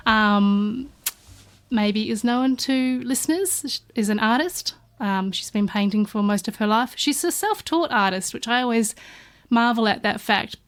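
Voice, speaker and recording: A woman's voice: on the high side, animated, a little slow, smooth. A woman from New Zealand in casual podcast chat, telling stories with frequent fillers and restarts. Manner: chatty, warm anecdotes with many fillers and restarts. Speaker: guest artist studio partner. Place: studio.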